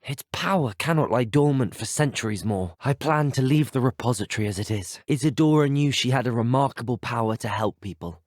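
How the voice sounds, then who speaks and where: A man's voice: medium pitch, animated, a little fast, few pauses, darkish tone, no echo, lightly acted. The earnest, well-spoken British schoolboy hero of a fantasy video game, acted by a man, heard up close in a dry studio, his melody rising and falling. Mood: resolute. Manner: courteous, articulate, earnest. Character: polite, earnest, determined teenage male student wizard, a game hero. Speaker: video game player character. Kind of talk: scripted video game dialogue.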